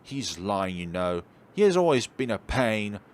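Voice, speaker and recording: A man's voice: fairly low pitch, very animated, measured pace. A man voicing a small, stubborn, hot-headed young woman who talks in blunt, indignant slang.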